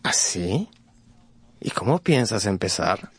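An actor playing a worldly, cynical aristocratic gentleman in a radio drama, with a suave, patronizing manner. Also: fairly low pitch, sing-song, slow pace, husky, heavily acted. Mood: wry, relieved. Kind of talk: Spanish-language radio drama acting.

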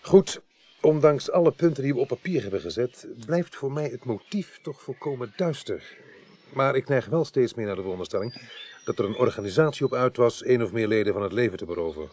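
A man's voice: mid-range voice, lively intonation, fairly quick, a little mellow, natural voice. An actor playing a modest, practical male police inspector with a matter-of-fact manner in a 1980s Dutch radio drama. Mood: pensive.

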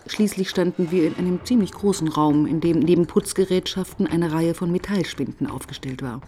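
An actress as a composed woman police detective with a fairly low voice. She is measured and matter-of-fact, telling and probing her case in a radio crime play. Faint background ambience sits behind her. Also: warm tone, slight background noise, natural voice. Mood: measured narration. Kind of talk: German crime radio play, dialogue and first-person narration.

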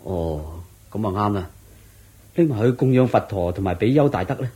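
A man's voice: lowish voice, expressive, moderate pace, dark tone, slightly rough. An actor playing a poor, devout, worried young husband and father in a radio drama, sighing and earnest, with a Hong Kong Cantonese accent. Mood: gentle. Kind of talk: Cantonese-language Buddhist radio drama acting.

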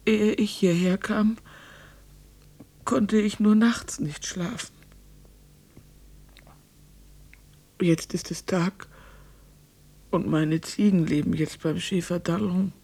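A reclusive farm woman in a radio play. Her voice is husky and muted, with slow, terse phrases. She is stubborn and guarded, with sorrow underneath. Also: on the low side, fairly even intonation, dark tone, full character voice. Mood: sorrowful. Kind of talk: German radio drama acting.

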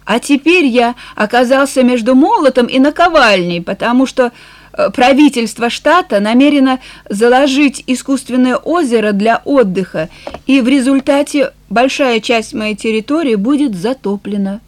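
A woman voicing a weary, frank male farmer nearing sixty in an audiobook, plain-spoken and measured. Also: on the high side, close to an everyday voice. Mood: resigned, frank. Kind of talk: audiobook character dialogue.

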